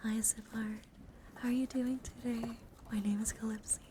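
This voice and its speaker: A woman streaming ASMR as host, talking in a soft, breathy, often whispered voice, gentle and rambling as she addresses her viewers. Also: a little high, level tone, normal speed. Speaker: livestreamer and VTuber host. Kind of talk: ASMR, softly whispered livestream chat. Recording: clearly noisy ambient background.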